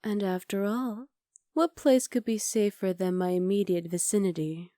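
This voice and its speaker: A woman voicing a scheming, possessive witch in an ASMR roleplay, speaking softly and often half-whispering close to the mic. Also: mid-range voice, fairly even intonation, normal speed, dry recording, natural voice. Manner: soft, intimate, coolly calculating, often half-whispered. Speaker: voice actor.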